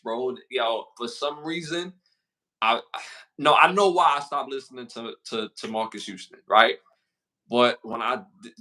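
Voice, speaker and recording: A man's voice: medium pitch, bright, forceful tone, rough recording. A man with a Brooklyn accent co-hosting a casual podcast, slangy and repeating himself as he reads out list questions. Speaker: podcast co-host.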